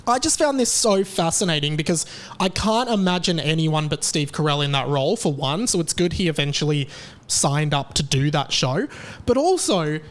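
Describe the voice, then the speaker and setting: Chatty, excitable man hosting. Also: normal speed. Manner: chatty and excitable, with animated pitch jumps. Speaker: podcast host. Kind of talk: casual chat.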